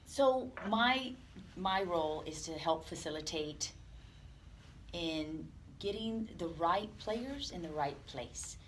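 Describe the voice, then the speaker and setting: A woman with a distinctly low voice speaks off the cuff in an interview. She is earnest and halting in her phrasing, and light room noise sits behind her. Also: lively intonation, poor audio. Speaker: police department representative, interview guest. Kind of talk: unscripted interview talk. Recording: clear background room noise.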